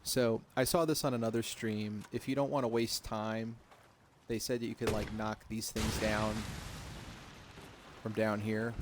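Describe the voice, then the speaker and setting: A man in his thirties quietly talking through a game at home at night, half-whispering, relaxed and self-deprecating. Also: lowish voice, a little slow, background noise. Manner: hushed, half-whispered talk. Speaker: gaming streamer. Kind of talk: casual let's-play commentary.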